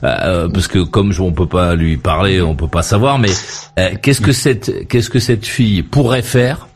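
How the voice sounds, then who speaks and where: A man's voice: low voice, unhurried, slightly rough. A man hosting a late-night talk radio show, asking probing, rambling questions. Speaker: radio host.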